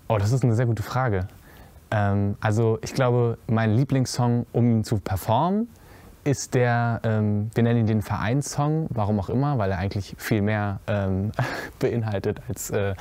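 A young man, an actor in a musical theatre piece, answers interview questions haltingly with many fillers and restarts, seated on a cinema stage. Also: fairly low pitch, mellow, no echo. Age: young adult. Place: cinema auditorium stage before a curtain.

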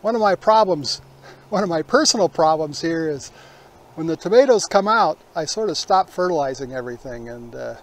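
A man, hosting a casual garden tour in a folksy, unhurried way outdoors. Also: fairly high pitch, animated. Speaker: gardening vlog host.

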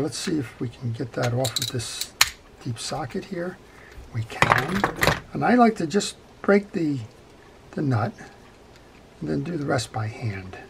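A man's voice: medium pitch, vocal fry, noisy background. A middle-aged man giving an unscripted hands-on repair tutorial in a methodical, plain-spoken way at an indoor workbench. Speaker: fishing reel repair instructor.

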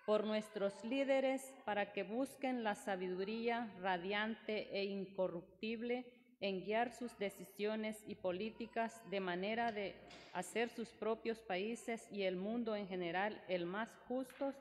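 A woman's voice: medium pitch, slow. A woman lay reader reading out prayer petitions at a church lectern. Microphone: lectern microphone. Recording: reverberant church, background noise.

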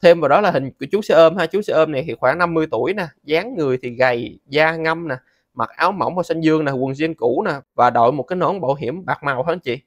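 A man's voice: on the high side, fast, no room sound, studio quality. A man in his thirties with a Southern Vietnamese accent giving a casual, conversational step-by-step tutorial as host. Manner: conversational, frequent sentence-ending particles addressing viewers.

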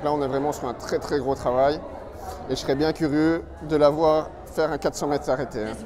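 Guy doing chatty event commentary, talks right to viewer, voice a bit high, music behind. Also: fairly even intonation, fairly quick. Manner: chatty, addresses the viewer directly. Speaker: motorcycle magazine video presenter. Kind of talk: casual event report commentary.

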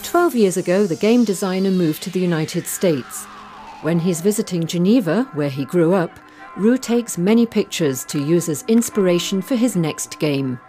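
Mellow, lower-voiced woman narrating a report. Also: faint music behind. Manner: measured, even reading. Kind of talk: scripted news narration.

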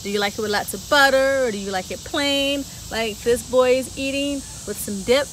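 A woman's voice: sits high, normal speed, a bit bright. A woman reading a picture book aloud to children on a garden bench outdoors, playfully asking them questions between the rhymes. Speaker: children's story time reader. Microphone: camera a few feet away. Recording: outdoor recording with clear insect noise.

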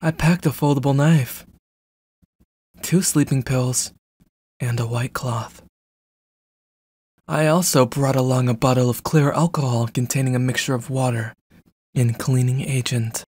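A man's voice: medium pitch, steady tone, unhurried, pauses often, a little mellow, breathy edge. A man playing a jealous, controlling stalker in an ASMR roleplay, with a cold, clipped, threatening delivery. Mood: cold, menacing. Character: jealous, controlling, menacing young male stalker.

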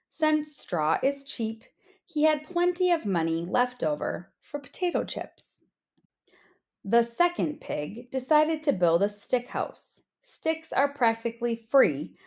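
A woman narrating a children's storybook aloud in an expressive read-aloud style. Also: on the high side. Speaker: storybook reader, teacher.

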